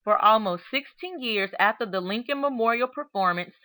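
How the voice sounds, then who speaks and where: A woman's voice: a little high, animated, moderate pace, sharp tone. Woman reading a storybook aloud, expressively. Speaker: reader of a children's book aloud. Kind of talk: read-aloud storybook narration.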